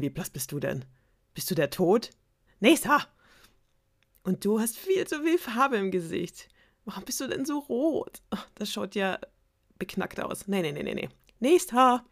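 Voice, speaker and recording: A woman voicing an arrogant young princess who mocks her suitors in a shrill, snappy way.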